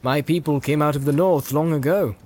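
A man voicing a stately old king, formal and courtly, full of measured wonder, in a storybook reading. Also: on the high side, darkish tone, natural voice. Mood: gracious.

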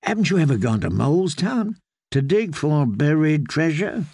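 A cocky young singer in his cups, as a male narrator plays him, pitched high for a man. He is boisterous and teasing, full of bravado, in the manner of a dramatic storybook reading, recorded dry and close. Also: very animated, moderate pace, darkish tone, slightly rough, no echo, full character voice. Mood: mocking, merry.

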